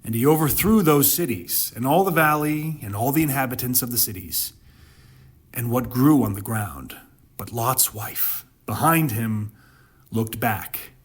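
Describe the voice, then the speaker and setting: A man narrates scripture and explains it in the manner of a dramatic sermon. His voice sits at a middling pitch with a somewhat husky edge. He is emphatic and pauses deliberately for weight. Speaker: Bible commentator and narrator. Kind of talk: dramatic scripture reading with interpretive commentary.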